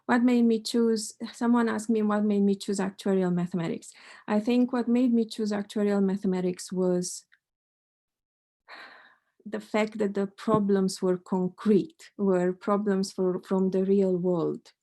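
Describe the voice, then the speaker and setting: Middle-aged woman prof from romania, dark gravelly voice, thinks out loud with lots of restarts. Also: mid-range voice, steady tone, a little slow. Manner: thoughtful, hesitant with many restarts. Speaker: mathematics professor. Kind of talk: panel talk answering questions.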